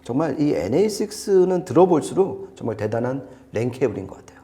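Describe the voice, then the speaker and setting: A man with a soft, mellow voice and a very lively pitch, giving a conversational gear review. He talks enthusiastically and puts emphasis on his points. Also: fast. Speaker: audio gear reviewer on a shop's video show.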